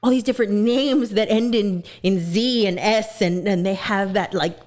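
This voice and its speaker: A woman in her twenties from Texas, a singer talking as a podcast guest in an earnest way, often pausing with fillers as she searches for words. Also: mid-range voice, a little mellow. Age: 20s. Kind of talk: casual podcast conversation.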